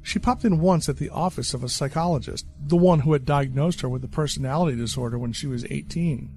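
A man narrating a dark horror short story in a measured, deadpan way. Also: a little high.

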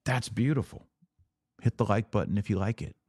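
A man's voice: fairly low pitch, fairly quick, a little mellow, breathy edge, dry recording, studio quality. A man hosting a religious podcast, talking informally and emphatically, often with rhetorical questions and repeated phrases.

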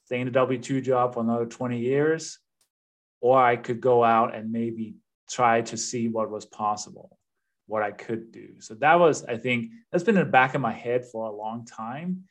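A man's voice: mid-range voice, fairly even intonation, moderate pace. A man in his fifties from Denmark, speaking English with a Danish accent as an interview guest, earnest and reflective with fillers. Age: 50s. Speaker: guest, real estate investor. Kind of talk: casual interview chat.

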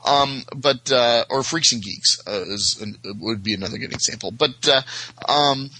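A man chatting casually as a podcast guest, halting, hedging and correcting himself as he goes. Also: medium pitch, sharp tone, no room sound, poor audio. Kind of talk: casual chat.

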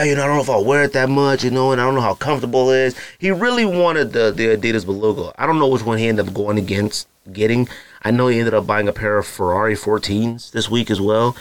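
A man in his thirties with a naturally deep voice, hosting a podcast in loose, slangy casual chat full of fillers and asides to his listeners. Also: dry recording. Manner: feels hot and uncomfortable while recording. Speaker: podcast host. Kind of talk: casual solo podcast rambling.

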